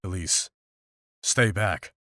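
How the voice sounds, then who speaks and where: A man's voice: sits low, slow pace, sharp tone, slight background noise, full character voice. A man playing a stern, protective monster hunter in a visual novel, wary and guarded. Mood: wary, warning.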